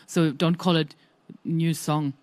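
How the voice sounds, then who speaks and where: A New Zealand woman on a panel chats easily, her voice a touch low. The sound is dry and close. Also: flat delivery, takes it slowly, a little mellow, no room sound.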